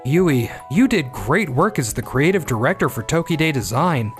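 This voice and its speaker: An actor playing a shrewd, blunt company boss in a manga dub, with a pointed way of talking. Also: male voice, a little high, sing-song, moderate pace, faint music behind. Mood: approving.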